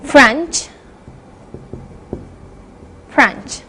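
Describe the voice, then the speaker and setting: Woman class assistant, high voice, brief plain replies, faint noise around her. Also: drawn-out words, pushed and bright. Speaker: assistant in class role-play.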